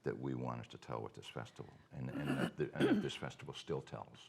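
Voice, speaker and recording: A middle-aged man with a Cape Breton accent, a festival co-founder telling stories in a relaxed live chat. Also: a bass voice, brisk, vocal fry, breathy edge. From Cape Breton, Nova Scotia, Canada. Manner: animated storyteller, acts out quoted dialogue.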